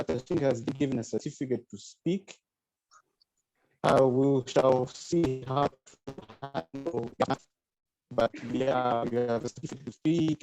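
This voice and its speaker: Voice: medium pitch, dark tone, low-quality recording. A moderator speaking jovially on a panel call, keeping the session moving. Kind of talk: panel discussion on video call. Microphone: video call.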